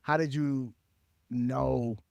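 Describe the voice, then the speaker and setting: An American interviewer from Minnesota speaks in a casual radio style. The delivery is halting, circling back to find the right words. The sound is dry and close, with very little room. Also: mid-range voice, steady tone, gravelly, a little mellow, no room sound, lo-fi sound. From Minnesota, USA.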